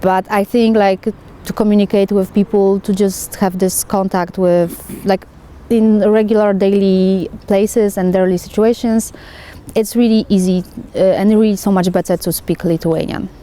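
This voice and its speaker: A woman's voice: medium pitch, steady tone, normal speed, mellow. A woman living abroad, answering interview questions in a thoughtful, casual way with frequent "like" fillers. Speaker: foreign resident interviewee.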